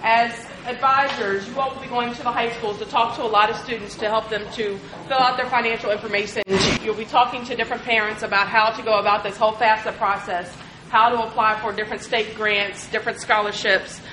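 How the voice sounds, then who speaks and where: A woman with a slightly high voice speaks in a deliberate, instructive way, the way a trainer does in front of a group. There is noticeable background noise, and the room echoes around her. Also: steady tone. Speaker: financial aid trainer. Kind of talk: presentation to trainees.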